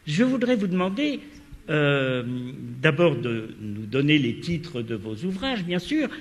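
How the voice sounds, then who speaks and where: A man's voice: on the high side, very animated, a little slow. A man moderating a literary panel discussion, asking long questions with many hesitations and fillers. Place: lecture hall. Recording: reverberant lecture hall.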